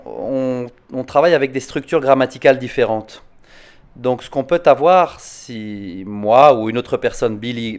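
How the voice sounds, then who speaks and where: A man speaks at an online conference in an ordinary mid-range voice. He talks in a halting, thoughtful way, repeating words as he searches for them and tagging sentences with little verbal tics. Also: mid-range voice, darkish tone, clean tone. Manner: hesitant, repeats words, frequent "hein". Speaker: psychoanalyst answering conference questions.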